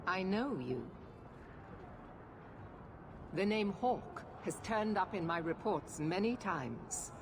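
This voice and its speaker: A woman's voice: medium pitch, animated, slow pace, pauses often, very noisy. An actress playing a stern, imperious female knight commander with an authoritative, cold and clipped manner. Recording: game audio with music behind.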